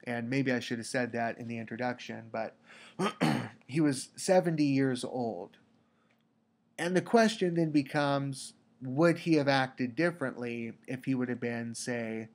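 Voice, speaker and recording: Slow congested male lecturer. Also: mid-range voice, creaky. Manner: slow, deliberate, with repeated restarts. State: congested with a cold. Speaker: teacher. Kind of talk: recorded lecture. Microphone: computer mic for a screen recording.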